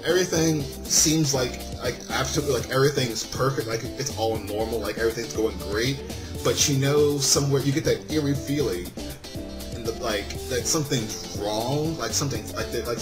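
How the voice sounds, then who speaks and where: A man's voice: mid-range voice, brisk. A man reading a visual novel aloud and commenting casually, often stumbling over lines and correcting himself. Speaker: video game let's-play host. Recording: music playing behind the voice. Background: game background music.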